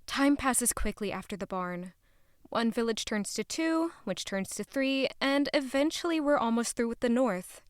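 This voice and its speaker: A woman's voice: on the high side, expressive, normal speed, clear, resonant tone, no echo, studio quality, close to an everyday voice. A woman playing a thoughtful young royal who narrates her own story in an audio drama. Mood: reflective.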